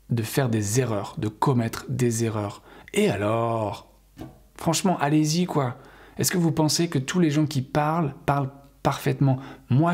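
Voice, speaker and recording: A man's voice: medium pitch, a little mellow, husky. A middle-aged French man giving an encouraging spoken lesson to camera, earnest and full of fillers and restarts. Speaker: English teacher.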